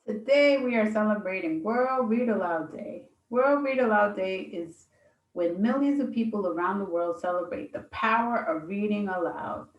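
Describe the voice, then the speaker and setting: A woman's voice: medium pitch, highs cut off. A woman hosting a children's read-aloud program, giving a scripted welcome to families and young listeners. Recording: roomy, echoey sound.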